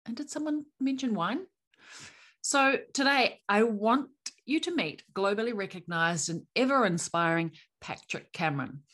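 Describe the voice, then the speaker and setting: A woman's voice: medium pitch, a bit bright. A woman hosting a business podcast, reading an upbeat intro and then interviewing a guest. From New Zealand. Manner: upbeat, promotional delivery. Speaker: podcast host.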